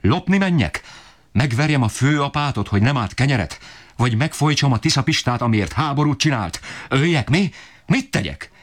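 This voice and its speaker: Man voicing a raging, shouting poor father. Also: low voice, pitched above the performer's own voice, lightly acted. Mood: furious shouting. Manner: bellowing, furious outbursts.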